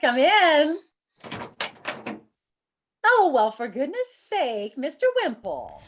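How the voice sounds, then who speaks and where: A patient middle-aged wife acted by a woman in an old radio comedy. She teases drily, with a very lively, swinging intonation. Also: high voice, takes it slowly, slight background noise, full character voice. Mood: welcoming. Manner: dry, gently sardonic.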